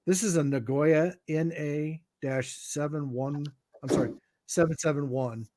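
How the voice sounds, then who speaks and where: A middle-aged American man giving informal explanations in a halting way, as if thinking aloud. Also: fairly high pitch, heavy background noise. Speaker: guest radio expert.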